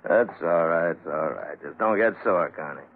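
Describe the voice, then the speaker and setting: Dogged 1940s radio detective, tinny sound. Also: male voice, low voice. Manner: dry, probing interrogation, sudden sharp pressure. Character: dogged, sardonic male police detective, outwardly patient. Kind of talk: 1940s radio drama acting. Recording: narrow, phone-like vintage 1940s radio recording.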